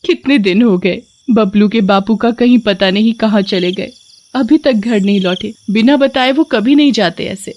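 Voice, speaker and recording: A woman's voice: mid-range voice, moderate pace, a little mellow. Woman narrating a horror story.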